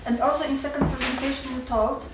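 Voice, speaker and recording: A young woman with a Polish accent, a student giving nervous, halting remarks at a seminar with many restarts. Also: high voice, steady tone, very echoey, far from the mic, background noise. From Poland. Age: young adult. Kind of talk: nervous public remarks in a second language.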